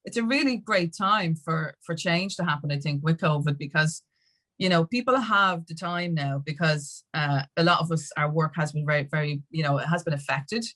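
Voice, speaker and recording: An Irish woman with a slightly low-pitched voice speaks as a guest in a casual interview. Her talk is chatty and emphatic, sprinkled with conversational tags. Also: normal speed, a bit bright. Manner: chatty, emphatic, peppered with "like" and "you know". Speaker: interview guest, music industry activist.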